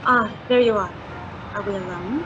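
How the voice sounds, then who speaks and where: A woman playing a sly, flirtatious informant in a detective radio drama, coy, deliberate and insinuating. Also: mid-range voice, close to an everyday voice. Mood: secretive. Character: sly, flirtatious female informant selling dangerous secrets. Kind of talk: hard-boiled detective radio drama, femme fatale. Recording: dull, noisy track with street ambience.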